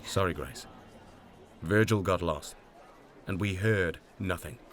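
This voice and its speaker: A man's voice: low voice, takes it slowly. An actor playing a stern, authoritative male mission commander in an audio drama. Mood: stern, resigned.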